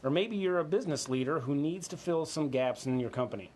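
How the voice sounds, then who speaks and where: A man's voice: on the high side, animated, a little fast, darkish tone, a little hiss or hum. A man co-hosting a business discussion, moving between scripted points and informal, conversational remarks. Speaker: co-host of a business talk show.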